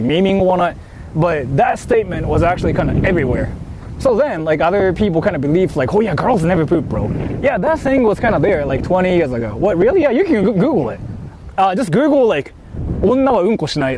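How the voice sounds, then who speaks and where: A young man with a Japanese accent, chatting casually and jokingly on a live stream from outdoors, rambling with lots of fillers and repeated words. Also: sits high. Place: outdoors in wind. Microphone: phone on a live stream. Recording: wind noise on a phone mic.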